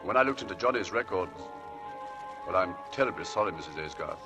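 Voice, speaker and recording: An actor playing a courteous, apologetic gentleman employer in a 1940s radio drama. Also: deep voice, lively intonation, a little fast. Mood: courteous, regretful. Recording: distant old radio broadcast, music behind.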